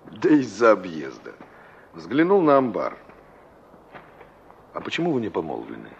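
An actor playing a reflective, nostalgic young engineer in a Soviet radio drama, probing and expressive in his delivery. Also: deep voice, normal speed, gravelly, full character voice. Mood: curious. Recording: muffled, noisy old radio drama recording.

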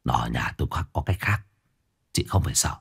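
Gruff, scheming middle-aged villager, played by the narrator, low and muttering. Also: male voice, huge pitch swings, a little fast, full character voice. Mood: dismissive.

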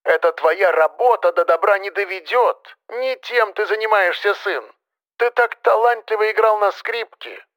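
A man's voice: high voice, moderate pace, a bit bright, pitched above the performer's own voice. A man voicing an anxious, nagging elderly mother in an audiobook story, plaintive and lecturing, often sighing. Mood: scolding, alarmed.

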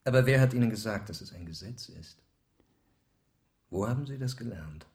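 An actor playing a sober, probing older gentleman in a radio play, speaking in a measured, dramatic way. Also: lowish voice, animated. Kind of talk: German radio drama acting.

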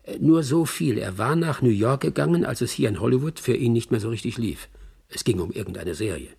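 An actor playing a chatty, slightly hesitant witness recalling an old acquaintance in a radio play. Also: male voice, medium pitch, lively intonation, fairly quick, vocal fry, darkish tone, husky. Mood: reflective, gossipy. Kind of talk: radio drama monologue, conversational.

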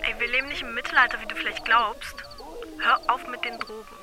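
A woman's voice: fairly high pitch, a little fast, crowd noise, natural voice. An actress playing a caring, no-nonsense young woman, earnest and firm, in an audio drama. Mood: reproachful. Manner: earnest, insistent. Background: music.

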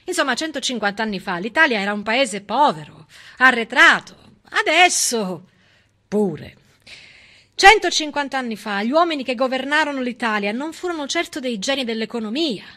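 A woman performs a civic stage monologue in a high, bright, forceful voice. She speaks rhetorically and with irony, stressing key words and setting them off with pointed pauses. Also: animated. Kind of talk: theatrical civic monologue, persuasive storytelling.

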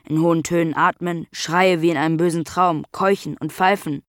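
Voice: medium pitch, fairly even intonation, normal speed, clear, resonant tone, no echo, studio quality, close to an everyday voice. A young performer playing a blunt, mistrustful twelve-year-old grandson in a German radio drama, speaking in a matter-of-fact, formal way. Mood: accusing. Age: child, about 12.

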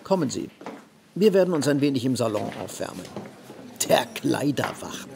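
Creaky, contemptuous salon host. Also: male voice, medium pitch, sing-song, normal speed, noisy background. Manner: curt, contemptuous. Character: brusque, dismissive bourgeois salon host, irritable and contemptuous. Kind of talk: radio play acting. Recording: crowd chatter behind the voice. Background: murmuring crowd.

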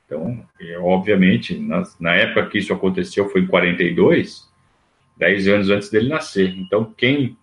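A man's voice: sits low, moderate pace. A man, talking casually and at length in a rambling livestream monologue, full of restarts and fillers. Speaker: livestream host. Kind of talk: casual monologue on club history.

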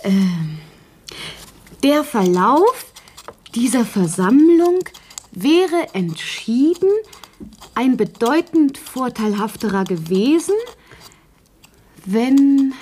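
High, very halting earnest maid. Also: female voice, expressive, dark tone, a little hiss or hum. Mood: earnest, concentrated. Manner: halting, deliberate, word by word. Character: earnest housemaid slowly composing a written meeting report. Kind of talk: radio play dialogue.

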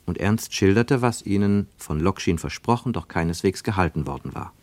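A man narrating a literary radio drama as a reflective observer, deliberate and given to rhetorical questions. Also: deep voice, animated, a little mellow, dry recording. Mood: measured, observant. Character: male narrator of a literary travel report, probing and reflective.

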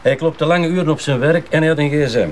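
An actor playing a methodical police inspector with a Flemish accent, measured and matter-of-fact, in naturalistic radio drama. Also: male voice, on the high side, a little hiss or hum, close to an everyday voice.

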